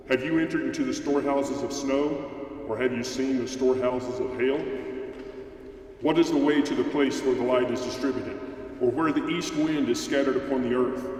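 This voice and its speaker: A man's voice: medium pitch, darkish tone, lightly acted. A man playing a stern, majestic God who commands and challenges in a dramatized scripture reading. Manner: commanding, rhetorical questioning. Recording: very echoey hall, distant mic, faint noise.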